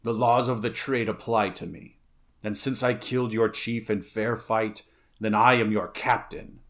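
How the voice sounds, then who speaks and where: A man's voice: lowish voice, level tone, unhurried, a bit bright. A man voicing a grim, stern barbarian swordsman and pirate captain in an adventure story. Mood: challenging. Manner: stern, challenging.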